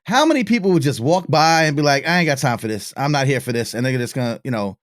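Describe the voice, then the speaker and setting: A man's voice: mid-range voice, animated, fast talker, no room sound. Reflective middle-aged man, dry sound. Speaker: podcast host. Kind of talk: casual interview chat.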